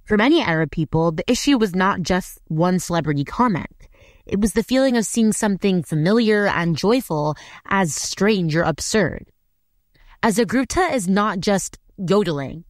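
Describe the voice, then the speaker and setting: A woman's voice: medium pitch, lively intonation, moderate pace, no echo, studio quality. A woman giving scripted, earnest narration for a short feature.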